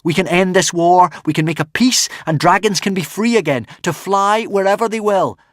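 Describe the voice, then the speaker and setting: A man's voice: high-pitched, moderate pace, dry recording, clean studio sound, pitched above the performer's own voice, close to an everyday voice. A man voicing an earnest young boy who has just become king, speaking with urgent, impassioned pleading.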